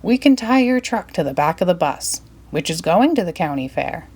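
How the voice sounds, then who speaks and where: A woman voicing a busy, helpful male bus driver in a children's storybook, calling out briskly. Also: on the low side, sing-song, a little mellow, husky, heavily acted. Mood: reassuring.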